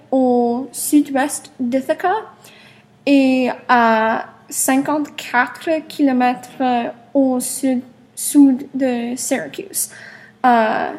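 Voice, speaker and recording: A young woman with an American accent and English as her first language, giving an informal presentation haltingly as a language learner, searching for words. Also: sits high, fairly even intonation, a little mellow, rough recording. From Cortland, New York, United States. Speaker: French learner.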